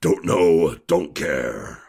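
A man playing a gruff, grumpy tough time traveller in an audio drama, with a gravelly, husky voice and blunt, grumbling delivery. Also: rumbling low, animated, very slow, noisy background, heavily acted. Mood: indifferent.

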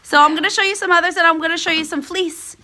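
A woman's voice: very high-pitched, steady tone, fast, bright, forceful tone. A middle-aged woman, an alpaca farmer, chatting and explaining as she films herself on a phone in a cold wooden barn. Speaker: alpaca farmer and vlog host. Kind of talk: casual explanatory vlog. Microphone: phone held at arm's length.

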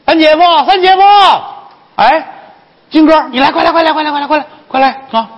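A man voicing an excitable young go-between, urgent and repetitive, in comic storytelling. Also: a little high, normal speed, breathy edge, long reverb tail, highs cut off, voice pushed up. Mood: excited, urgent.

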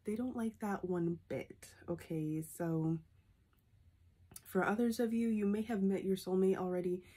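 A woman's voice: mid-range voice, measured pace, dark tone, breathy edge. Congested woman reading tarot to camera. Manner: repeats a questioning "okay?" after nearly every point. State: congested from a sinus infection. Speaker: tarot reader. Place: small room indoors. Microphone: phone camera at arm's length.

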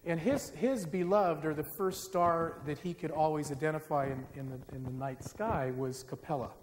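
A middle-aged man gives a public lecture on stage in a large dark auditorium, speaking into a podium microphone in a conversational, self-deprecating way. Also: a little high, normal speed. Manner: conversational, self-deprecating, gets the audience singing. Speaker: forum speaker. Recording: reverberant hall with faint hiss. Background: live audience.

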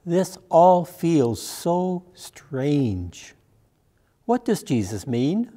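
A man's voice: on the high side, very animated, dark tone, full character voice. An older man playing a troubled, devoted disciple of Jesus in a church monologue, reflective and questioning. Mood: puzzled. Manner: slow, reflective, questioning delivery. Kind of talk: dramatic monologue in a church service. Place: church sanctuary, at a pulpit. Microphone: gooseneck pulpit microphone.

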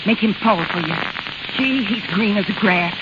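A woman's voice: mid-range voice, moderate pace, lightly acted. An actress playing a jilted, scheming carnival woman in slangy American 1930s radio drama. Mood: scheming, coaxing. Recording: muffled, hissy, crackling 1930s radio recording.

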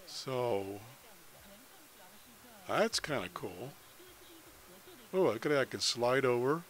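A man's voice: medium pitch, animated, slow. An American man giving a casual screen-share tutorial as a hobbyist, unscripted and thinking aloud as he goes. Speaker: amateur radio hobbyist demonstrating a website.

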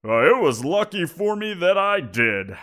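A man's voice: high-pitched, sing-song, unhurried, a bit bright, heavily acted. A man voicing a giant wolf creature in an audio roleplay, teasing and amused, chuckling as he talks. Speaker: voice actor.

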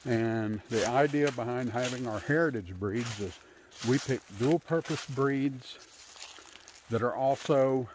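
A man in his fifties giving a casual, unscripted tour outdoors on a handheld phone, pausing often as he talks. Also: mid-range voice, background noise. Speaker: homesteader hosting a channel. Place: outdoors in bare winter woods. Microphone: handheld phone at arm's length.

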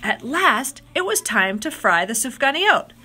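Animated, high-voiced woman reading a storybook. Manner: expressive, drawn-out dramatic phrasing. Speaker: storybook reader. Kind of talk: animated read-aloud of children's picture book.

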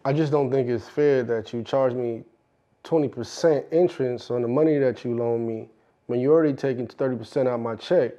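A man's voice: mid-range voice, normal speed, mellow, dull audio, natural voice. A man playing a complaining, nervous club DJ in acted film dialogue, speaking in a hesitant, pleading, stumbling way.

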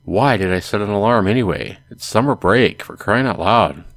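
A man's voice: on the low side, lively intonation, normal speed, no echo. A man hosting a let's play, casually commenting and reading a visual novel aloud. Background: music.

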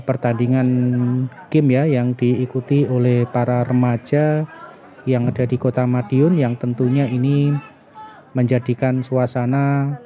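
A middle-aged man narrates a walking review as a vlogger, in halting phrases dotted with little tag words. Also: medium pitch, warm tone. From Indonesia. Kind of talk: walking vlog narration, food bazaar review. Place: outdoor night food bazaar in a car park.

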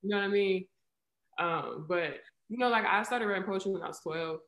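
A young woman in her twenties talks candidly over a webcam from her living room, thinking out loud with plenty of fillers. Her voice carries a gravelly fry. The room around her is noticeably echoey. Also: medium pitch, brisk. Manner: candid, reflective, many fillers like "right?" and "um". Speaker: interview guest, athlete and poet. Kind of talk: casual video call interview. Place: living room at home, indoors. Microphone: video call webcam. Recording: roomy echo on a video call.

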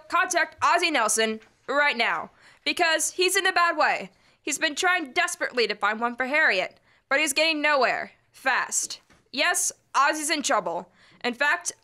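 A woman's voice: high voice, pushed and bright, exaggerated voice. A young woman playing a peppy, chummy announcer on an old-time radio show. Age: teens to young adult. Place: school library room, staged performance. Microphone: stand microphone on stage.

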